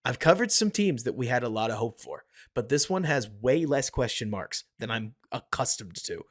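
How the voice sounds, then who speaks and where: A man hosting a casual sports podcast, talking excitedly and building his case with rhetorical questions. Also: medium pitch, normal speed. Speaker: podcast host. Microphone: home podcast mic.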